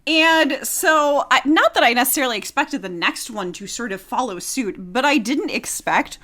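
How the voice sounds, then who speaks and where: A woman co-hosting a podcast in casual chat, teasing and quick to laugh. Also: sits high. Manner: teasing, lively, laughs easily. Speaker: podcast co-host.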